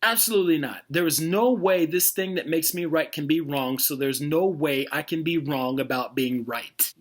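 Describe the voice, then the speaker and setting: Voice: mid-range voice, moderate pace, natural voice. A performer playing an arrogant, self-righteous believer in a satirical dialogue, speaking with emphatic, impatient condescension and swearing. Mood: smug, dismissive.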